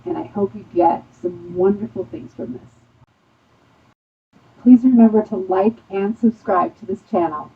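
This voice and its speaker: A woman's voice: medium pitch, breathy edge. A woman host giving an upbeat, eager show intro. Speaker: podcast host. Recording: tinny phone-like line.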